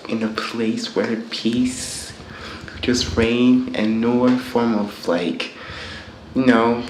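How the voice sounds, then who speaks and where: Slow, earnest man in an echoey room. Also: mid-range voice, steady tone, slight background noise. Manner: earnest, measured. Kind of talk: personal interview testimony.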